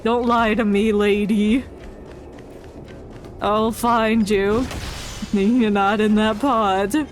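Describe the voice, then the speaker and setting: Female game streamer: congested, unhurried delivery, playful mock indignation, faint music behind. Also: medium pitch, steady tone. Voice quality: congested, stuffy nose. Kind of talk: casual live game commentary. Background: game audio and music.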